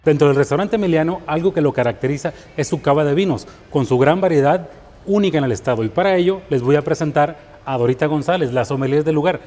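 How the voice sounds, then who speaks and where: A man's voice: fairly high pitch, fast talker. A man with a Mexican accent, presenting a culinary travel show as a chef and host. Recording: music under the voice. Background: background music.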